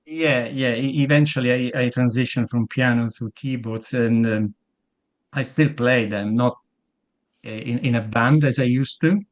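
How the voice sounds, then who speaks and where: A man's voice: medium pitch, fairly even intonation, normal speed. A man from Italy with a thick Italian accent, a guest in a casual interview, often stumbling and repeating words as he starts his sentences. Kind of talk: casual video-call interview.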